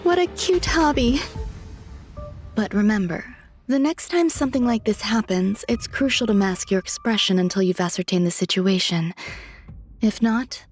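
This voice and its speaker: Actress as a poised, high-voiced genius scientist woman. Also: darkish tone, lightly acted. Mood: amused, teasing. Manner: formal, measured, precise wording. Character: poised, secretive female genius scientist, coolly polite. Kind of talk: scripted video game character dialogue. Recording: music under the voice.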